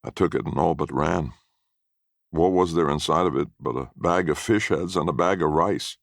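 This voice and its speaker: A man's voice: extremely low pitch, moderate pace, gravelly, dry recording, studio quality. A man narrating a first-person short story expressively in a folksy storytelling manner.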